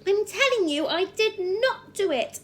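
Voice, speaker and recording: A woman's voice: expressive, a little slow, a bit bright, slight background noise, full character voice. A woman voicing an indignant, stubborn young schoolgirl who insistently protests her innocence in a storybook. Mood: angry insistence. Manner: high, insistent, pleading protest.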